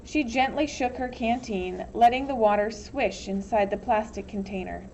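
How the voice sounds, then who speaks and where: A woman's voice: on the high side, moderate pace, a little mellow, highs cut off, slight background noise. A woman reads a children's novel aloud as scripted storybook narration. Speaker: teacher reading aloud.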